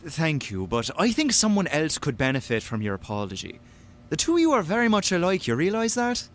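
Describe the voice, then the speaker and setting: Casting: actor as worried, wry male best friend, highish voice with huge melodic swings, radio drama. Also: huge pitch swings, brisk. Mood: earnest, reproachful. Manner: earnest, wry, scolding. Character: fretful, caring male friend, exasperated yet gentle. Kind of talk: audio drama acting.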